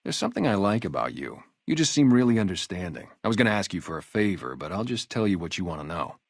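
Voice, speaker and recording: Game role: ex-gang sniper on guard duty, male, low register, lively inflection, casual street manner, guarded but loyal. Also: fast talker, no room sound, studio quality, heavily acted. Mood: earnest, friendly. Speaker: security guard and former soldier. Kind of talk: video game character dialogue, naturalistic.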